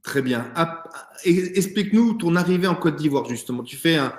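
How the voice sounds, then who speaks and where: A man's voice: a little high, expressive, moderate pace, roomy sound. A man hosting an online talk show interview by video call, hesitant and full of fillers. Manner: hesitant, many fillers and restarts. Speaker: host.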